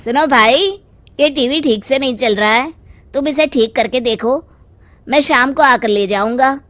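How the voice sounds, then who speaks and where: A woman's voice: high voice, a little slow, fairly bright tone, no room sound, pitched above the performer's own voice. A woman voicing a plain-spoken, easily dismayed male customer in a children's storybook tale, direct and plaintive. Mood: matter-of-fact.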